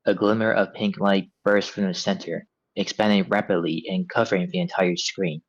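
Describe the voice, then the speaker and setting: A man reading stage directions as narrator in a live table read, measured and descriptive. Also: sits low, fairly even intonation, no echo, muffled recording.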